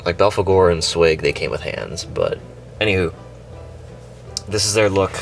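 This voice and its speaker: Deep-voiced congested man, excited and chatty. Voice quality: nasal. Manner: chatty, excited, rambling with fillers. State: sick and congested. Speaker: toy reviewer, channel host. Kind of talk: casual unboxing review.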